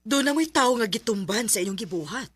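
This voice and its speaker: An actress playing a frank, scolding confidante in a Philippine radio drama, speaking forcefully with a Bisaya Filipino accent. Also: female voice, on the high side, expressive, fairly quick, slightly rough. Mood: reproachful.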